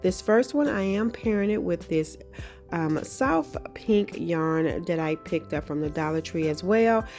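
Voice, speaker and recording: Casting note: a friendly woman with a lowish voice and a slight cold-rasp, relaxed crafting vlog chatter, soft music underneath. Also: a little slow. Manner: friendly, chatty, frequent ums. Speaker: craft channel host. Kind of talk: casual craft tutorial narration.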